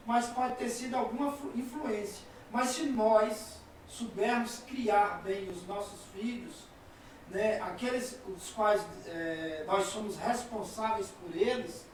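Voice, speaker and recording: A Brazilian man in his forties, a talk show guest speaking calmly and giving personal examples. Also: extremely high pitch, a little hiss or hum. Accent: Brazilian Portuguese. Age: 40s. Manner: calm, agreeing, tells personal examples. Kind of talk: conversational talk. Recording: echoey room, voice from far off.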